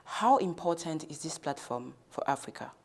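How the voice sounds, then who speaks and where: A woman's voice: low-pitched, lively intonation, moderate pace, darkish tone, slightly rough. A woman working as a TV interviewer, putting scripted questions to a guest in a formal broadcast style.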